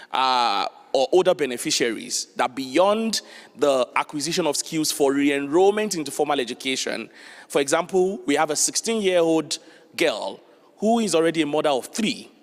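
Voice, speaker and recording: A man giving an earnest panel talk, often saying "you know". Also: a little high. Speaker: panel speaker from a non-profit. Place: large conference hall. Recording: long hall reverb.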